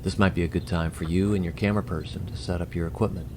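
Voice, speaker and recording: A man's voice: sits low, steady tone, fast, close to an everyday voice. A man playing a courteous, composed alien envoy in a science fiction audio drama, formal and precise in speech. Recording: clearly noisy audio drama track.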